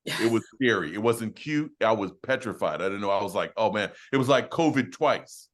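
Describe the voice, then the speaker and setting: A man's voice: on the low side, lively intonation, moderate pace, slight background noise. A man, a singer, chatting casually and telling a story with easy laughs. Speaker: a cappella singer and host. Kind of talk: casual chat.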